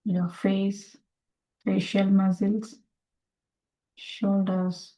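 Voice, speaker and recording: A woman leading a guided meditation in a calm, deliberate, soothing way, with pauses between short phrases. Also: mid-range voice, low-quality recording. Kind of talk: slow guided meditation instruction. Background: meditation music.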